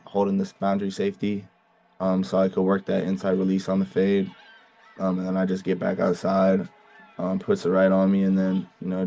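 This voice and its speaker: A young man from the Bay Area talks through his plays in a casual, halting way, often circling back with ums and restarts. His voice sits very low and stays nearly flat in pitch. It has a gravelly, mellow, dark tone. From Bay Area, California. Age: young adult. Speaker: college football player. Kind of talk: casual interview answers.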